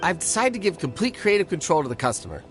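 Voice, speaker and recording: An actor playing an earnest, eager food stall vendor in a video game, deferential and keen to explain. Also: male voice, a little high, sing-song, quick pace, music in the background. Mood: enthusiastic, earnest. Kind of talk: video game character acting.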